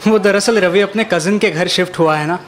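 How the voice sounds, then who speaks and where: A man's voice: high voice, fairly quick, a faint crowd, a little hiss or hum, natural voice. A man voicing a casual, chatty young male student in a cartoon, informal and eager but respectful. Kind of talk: cartoon voice acting.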